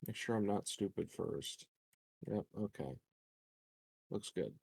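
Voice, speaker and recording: Male tabletop player, lowish voice, relaxed conversational delivery, dry recording. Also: takes it slowly, vocal fry, breathy edge, no echo. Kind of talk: casual chat.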